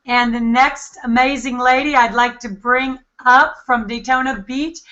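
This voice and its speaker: A woman's voice: sits high, steady tone, a little slow, sharp tone, dull audio. A middle-aged woman hosting a sales webinar from her home office over a video call, speaking with eager, salesy enthusiasm. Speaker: host.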